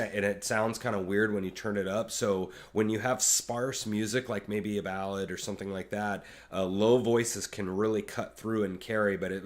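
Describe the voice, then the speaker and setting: A man with a low voice giving rambling, casual commentary on music, with many fillers and restarts. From Kansas City area. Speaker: YouTube reaction host, musician.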